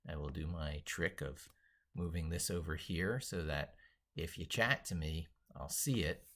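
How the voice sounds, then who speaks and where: Deep-voiced man thinking aloud on a livestream. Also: unhurried, fairly bright tone. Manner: rambling, thinking aloud while working at computer. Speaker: livestream host, tech educator. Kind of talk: casual livestream screen walkthrough with reading aloud.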